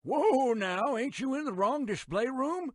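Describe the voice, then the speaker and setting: An actor voicing a folksy, excitable old-timer railroad guide in a video game, with a rural American accent, a creaky voice and a colloquial, theatrical manner. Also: male voice, extremely high pitch, a little slow, no echo, clean studio sound, exaggerated voice. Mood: surprised, teasing.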